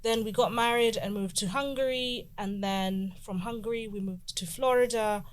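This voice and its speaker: Woman, interview guest, relaxed and chatty, middle pitch. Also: moderate pace. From Nairobi, Kenya. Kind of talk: casual interview talk.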